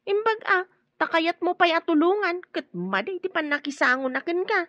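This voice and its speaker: A woman's voice: very high-pitched, expressive, moderate pace, pushed and bright, no room sound, dull audio, exaggerated voice. An actress playing a gossipy, slightly scatterbrained, confiding woman in an Ilocano radio drama. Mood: concerned, curious.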